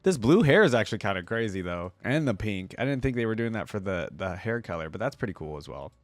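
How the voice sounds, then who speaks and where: A man's voice: on the low side, big pitch swings, fast, no echo. Casual man reacting aloud, dry close sound. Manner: informal, chatty, thinking aloud. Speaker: YouTube reaction host.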